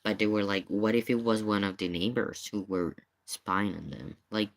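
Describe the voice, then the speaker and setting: A man's voice: on the low side, fairly even intonation, moderate pace, gravelly. A man giving casual live commentary in an emphatic, questioning way, often repeating his points. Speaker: streamer reacting to paranormal investigation video.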